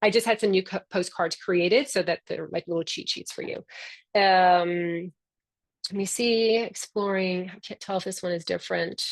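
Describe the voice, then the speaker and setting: A woman's voice: lowish voice, steady tone, normal speed, no echo. A middle-aged woman whose first language is Spanish, the founder hosting a live online orientation in an upbeat, affectionate, chatty way.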